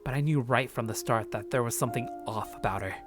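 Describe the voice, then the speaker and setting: A man's voice: mid-range voice, quick pace, over music, natural voice. A man playing a paranoid, sardonic young man narrating his journal in a visual novel, curt and brooding. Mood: brooding, bitter.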